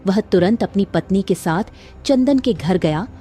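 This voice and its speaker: A woman's voice: medium pitch, expressive, measured pace, warm tone, soft background music. A woman reading a scripted moral story as a dramatic narrator.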